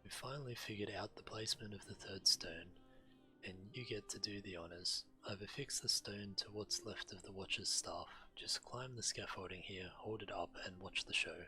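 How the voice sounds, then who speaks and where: A man's voice: lowish voice, normal speed, bright, forceful tone, soft background music, rough recording. A man voicing an enthusiastic, curious explorer scholar in a video game, full of wonder at ancient relics. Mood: awed, excited. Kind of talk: video game quest dialogue.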